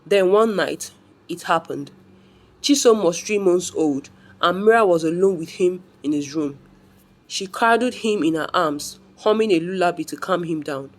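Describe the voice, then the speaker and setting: A woman's voice: fairly low pitch, normal speed. A woman reading a scripted folktale as a storyteller.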